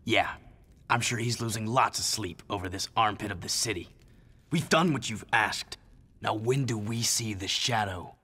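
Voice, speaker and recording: A man's voice: on the low side, normal speed, sharp tone, breathy edge. An actor playing a blunt, determined young male hero in a video game, who speaks in a terse, impatient way. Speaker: game character.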